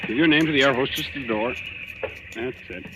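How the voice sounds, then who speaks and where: An actor playing a breezy, reassuring, gentlemanly naval commander, brisk and polite, in 1940s radio style. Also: male voice, medium pitch, noisy background, close to an everyday voice. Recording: old radio recording, loud music.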